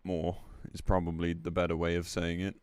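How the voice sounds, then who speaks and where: A man's voice: deep voice, completely flat delivery, moderate pace, clean tone, no echo. A man live-streaming in a casual, blunt, exasperated way, commenting between bits of reading aloud and swearing freely.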